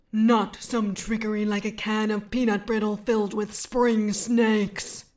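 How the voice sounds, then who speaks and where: A woman voicing a pompous, quick-to-rage male supervillain who delivers grand, drawn-out threats. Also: lightly acted. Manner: booming, drawn-out theatrical threats.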